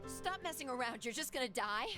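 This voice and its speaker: A woman's voice: lively intonation, fairly quick, natural voice. An actress playing a distraught young woman in a video game, urgent and pleading as she cries out. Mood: hurt, disbelieving. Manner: shrill, urgent, crying out. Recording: game audio under loud music.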